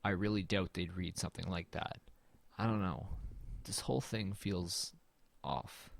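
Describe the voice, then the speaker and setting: A man playing an uneasy narrator in a horror audio drama, telling it in the first person in a measured, brooding way. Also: low-pitched, fairly even intonation, gravelly, slight background noise. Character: uneasy male narrator exploring a dead man's house.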